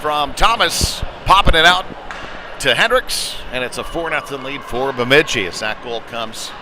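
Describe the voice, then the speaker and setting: A man calls a hockey game live as the play unfolds. He speaks in short, clipped fragments at a medium pitch. Clear venue noise runs behind his voice. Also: animated, moderate pace. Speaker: play-by-play commentator. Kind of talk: live youth hockey commentary. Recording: noisy rink, clear background noise.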